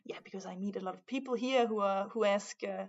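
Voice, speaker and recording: A young woman from Denmark with a Danish accent, speaking English haltingly in a casual conversation, full of fillers and restarts. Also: medium pitch, lo-fi sound. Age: young adult. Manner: hesitant, many fillers and restarts. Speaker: art student, guest.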